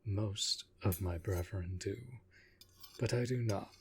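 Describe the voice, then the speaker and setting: A man playing an aloof, commanding yet protective vampire master in an intimate roleplay audio drama, speaking in a formal, measured way. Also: low-pitched, a little hiss or hum. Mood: measured, civil. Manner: formal, measured, deliberate speech.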